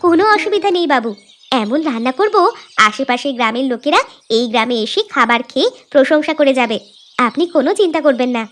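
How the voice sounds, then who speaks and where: A woman's voice: high voice, expressive, fast talker, fairly bright tone, soft background music. A woman voicing an eager, deferential village wife and home cook in a cartoon story. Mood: eager respectful.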